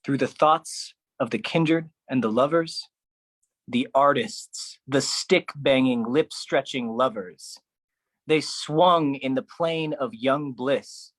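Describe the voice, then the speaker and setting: A man performing a theatrical spoken-word poetry monologue, phrased deliberately and dramatically, with shifting intensity. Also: medium pitch, a bit bright, no room sound.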